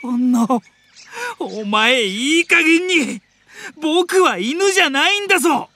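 A stern, exasperated older brother. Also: male voice, very high-pitched, lively intonation, slow, bright, forceful tone, a little hiss or hum, exaggerated voice. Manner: stiff, formal, exasperated. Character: stern, serious older brother, easily annoyed. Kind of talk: anime drama CD acting.

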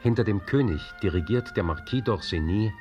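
An actor as a narrator setting the scene of a radio play, measured and formal. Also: male voice, on the low side, expressive, over music, natural voice. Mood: neutral.

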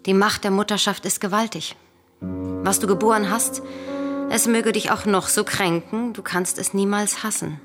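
Lady doing haughty cold queen, greek tragedy radio play, measured and bossy, music underneath. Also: mid-range voice, close to an everyday voice. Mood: conflicted. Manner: imperious, measured declamation. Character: proud, cold queen and mother, scornful of her daughter.

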